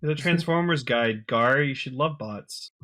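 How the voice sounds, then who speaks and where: A man's voice: medium pitch, measured pace, pushed and bright. A man chatting casually as a co-host on a podcast. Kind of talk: casual chat.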